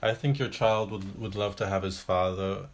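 Earnest Jamaican-accented man, lowish voice. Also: little pitch movement. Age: 30s. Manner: earnest, measured, frequent "you know". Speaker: podcast host. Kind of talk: casual conversation.

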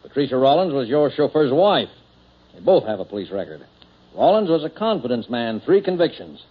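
A police captain in an old radio crime drama. He is procedural and matter-of-fact, with a husky, mid-pitched voice. The sound is dull and muffled. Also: big pitch swings, brisk. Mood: businesslike. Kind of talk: 1940s radio drama acting.

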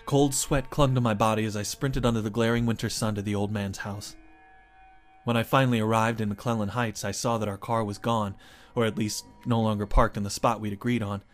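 A man's voice: lowish voice, fairly even intonation, fairly quick, soft background music. A man reading his own first-person short story as dramatic scripted narration.

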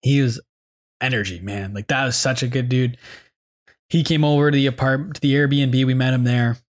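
Young man from Canada, podcast host, rambling eager storyteller, mid-pitched voice. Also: steady tone, normal speed. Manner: rambling, enthusiastic storytelling with many fillers. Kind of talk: casual reflective monologue.

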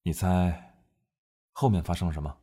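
Man playing deep husky restrained general. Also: steady tone, moderate pace, dark tone. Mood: subdued, wistful. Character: restrained, commanding young male major general. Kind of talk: Chinese radio drama acting.

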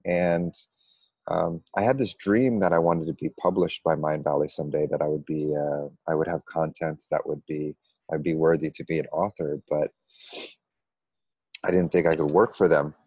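A middle-aged American man with an extremely low voice and a flat, steady melody. He tells his story conversationally as an interview guest, pausing and hesitating often. The sound is close and dry. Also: slightly rough, dry recording. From United States. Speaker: interview guest, meditation coach.

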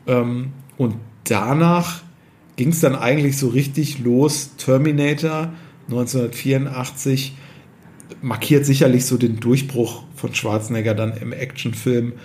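A man's voice: medium pitch, a little mellow. A man hosting a podcast, discussing film conversationally in speech and often searching for words. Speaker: podcast host.

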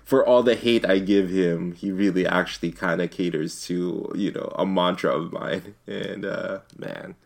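A man's voice: low-pitched, fairly even intonation, measured pace, gravelly, few pauses. A young man co-hosting a podcast in casual, rambling chat, often tossing in "man". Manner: laid-back, rambling, says "man" a lot. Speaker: podcast co-host.

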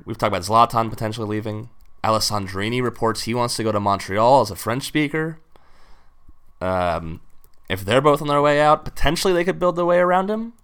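Podcast dude, smooth clear voice, even pitch, asks questions and thinks it through. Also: male voice. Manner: measured, questioning analysis. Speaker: podcast co-host. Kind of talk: casual sports chat.

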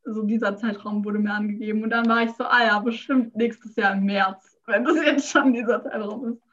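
A woman in a casual chat, teasing her friend and quick to laugh. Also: fairly high pitch, steady tone, fairly bright tone, highs cut off.

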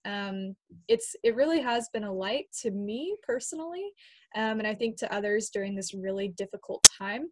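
A woman's voice: mid-range voice, animated, normal speed, very noisy. A woman hosting an online event in an informal, appreciative way as she welcomes people and introduces presenters. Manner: appreciative, thanking.

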